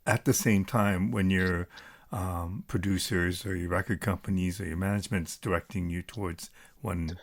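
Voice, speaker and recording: A man's voice: deep voice, fairly even intonation, normal speed. A man hosting a podcast, asking follow-up questions and making brief, attentive interjections. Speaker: podcast host.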